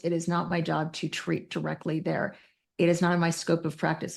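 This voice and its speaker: A woman's voice: fairly low pitch, gravelly, a little mellow. A woman speaking as a clinician guest on a podcast, direct and emphatic, correcting herself as she talks through her practice. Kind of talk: conversational clinical discussion.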